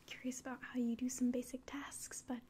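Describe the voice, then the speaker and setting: Young woman's soft, mellow ASMR whisper. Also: high-pitched, level tone, slight background noise. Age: 20s. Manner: gentle, slow, instructing. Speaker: ASMR performer playing an alien doctor giving an exam. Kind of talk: whispered ASMR medical roleplay. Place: small room indoors.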